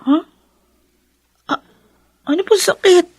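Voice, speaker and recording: An actress playing an emotional adopted daughter in a Filipino radio drama, with a Filipino accent. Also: female voice, very high-pitched, takes it slowly, dry recording, studio quality, heavily acted. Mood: shocked, disbelieving. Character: grief-stricken adopted daughter, anguished and bitter at God. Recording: music under the voice.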